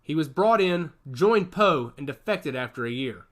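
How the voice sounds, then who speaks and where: A man's voice: on the high side, lively intonation, moderate pace, fairly bright tone. A man voicing a male soldier who reads out information in a matter-of-fact way during a story reading. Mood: informative. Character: young male soldier relaying scan data.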